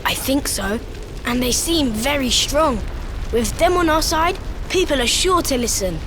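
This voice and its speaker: A woman's voice: high-pitched, lively intonation, a little slow, background noise. A woman voicing an earnest young boy in a video game, speaking formally in solemn, poetic phrases. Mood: hopeful. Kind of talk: video game cutscene acting. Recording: loud music behind the voice. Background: loud game music.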